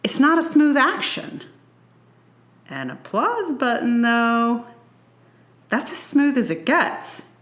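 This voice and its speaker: Expressive woman reading in an echoey room. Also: a little high, slow pace, pauses often. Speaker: podcast host reading own blog post. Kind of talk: scripted personal essay read aloud.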